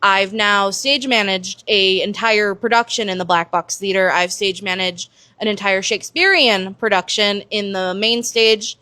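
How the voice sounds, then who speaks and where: American woman being interviewed, explains stuff step by step, normal pitch, dry close sound. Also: a little slow, hardly any pauses, pushed and bright, no echo. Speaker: production stage manager, interview guest. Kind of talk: conversational interview answers.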